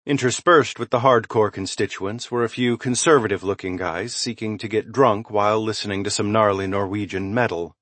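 A man reading a suspense thriller novel as scripted narration, in a dramatic, measured storytelling manner with emphatic swells and hushed drops. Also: fairly low pitch, steady tone, no room sound. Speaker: audiobook narrator.

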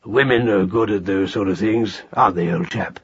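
A man voicing a worldly, avuncular gentleman rabbit with deep tones and a courteous, measured manner. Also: breathy edge, muffled recording. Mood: confiding.

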